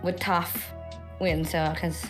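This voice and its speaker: An American woman in her thirties with a hoarse voice, rambling and swearing freely in casual chat as a podcast guest. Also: on the low side, flat delivery, slow, faint music behind. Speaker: actor.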